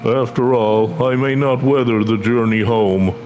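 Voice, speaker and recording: A man playing a wise, courteous elderly refugee, reading game dialogue in a formal, unhurried manner. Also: medium pitch, a little mellow, soft background music, close to an everyday voice. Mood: approving, reflective.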